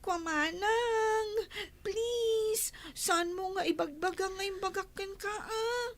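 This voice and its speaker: Squeaky, slow, whiny Ilocano child. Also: female voice, a little hiss or hum, full character voice. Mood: nervous, pleading. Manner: whiny, hesitant, pleading. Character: hesitant, whiny young village child sent on errands. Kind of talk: Ilocano comedy radio drama acting.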